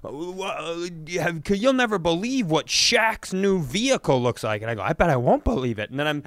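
A man chatting casually as a comedy podcast co-host, telling self-mocking, sweary stories in an animated way. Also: on the high side, moderate pace, dry recording, clean studio sound.

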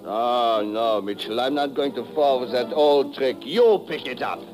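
A man's voice: mid-range voice, lively intonation, unhurried, over music, close to an everyday voice, poor audio. A man playing a menacing, formally polite spy villain in a 1950s radio drama, commanding and cool. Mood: sharp command. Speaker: supporting actor in a radio drama.

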